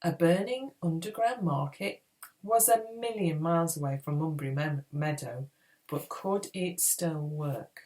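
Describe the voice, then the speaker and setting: A woman reading a children's adventure book aloud in a dramatic, expressive storytelling style. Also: sits low, measured pace, low-quality recording. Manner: expressive, acts out dialogue. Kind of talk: dramatic read-aloud narration.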